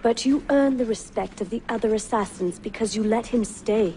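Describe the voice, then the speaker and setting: A woman's voice: a little high, moderate pace, a little hiss or hum, lightly acted, low-quality recording. A woman playing a wise, steadfast wife of an assassin master in a video game, giving earnest, formal counsel with composure. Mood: calm, earnest. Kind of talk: dramatic video game cutscene acting. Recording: loud music over the dialogue.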